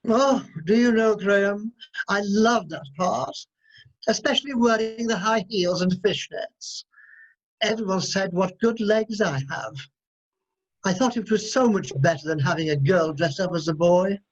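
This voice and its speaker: A man's voice: sits high, a little slow. A man playing a camp, gushing pantomime enthusiast in a comic radio play, effusive and theatrical. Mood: gushing, nostalgic. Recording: slightly dull video call audio.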